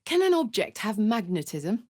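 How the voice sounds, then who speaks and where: A woman voicing a brash, warm-hearted tiefling warrior in a video game, with blunt, earthy soldierly talk. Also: medium pitch, very animated, moderate pace, no room sound, studio quality. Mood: brisk. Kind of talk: video game character voice acting.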